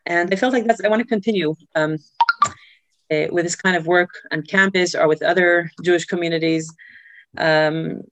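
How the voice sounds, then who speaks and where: A woman who grew up in Israel, telling her life story as an interview guest, pausing often with filler sounds and restarts. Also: fairly low pitch, fairly even intonation, dry recording. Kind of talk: personal life story in conversation.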